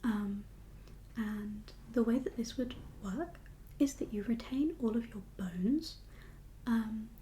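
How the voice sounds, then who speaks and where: A woman whispering an ASMR medical roleplay as a kindly doctor, gentle and reassuring in an unhurried, professional way. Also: fairly high pitch, slight background noise. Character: kindly doctor for undead patients. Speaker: ASMR performer playing a doctor.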